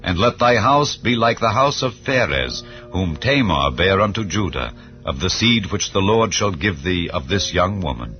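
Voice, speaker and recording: Narrator: very deep man, solemn, measured scripture reading, slightly dull audio, soft music under. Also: sing-song. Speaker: Bible reader. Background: soft background music.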